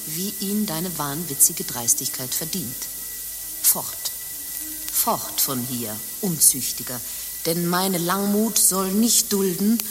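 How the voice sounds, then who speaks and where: An actress playing a worldly, stern abbess in a German radio play, speaking formally and theatrically. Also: female voice, fairly low pitch. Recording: long reverb, music behind.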